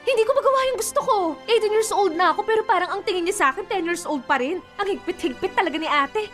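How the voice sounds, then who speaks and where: A woman's voice: extremely high pitch, animated, fast talker, a bit bright, faint music behind. A young woman playing a rebellious, resentful eighteen-year-old younger sister in a plaintive, complaining radio drama style. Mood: frustrated, whining.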